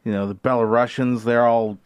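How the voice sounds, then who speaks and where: A man's voice: medium pitch, flat delivery, brisk, smooth, no echo. A man co-hosting a casual sports podcast, thoughtful, with many uh fillers, often posing questions to the others. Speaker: podcast co-host.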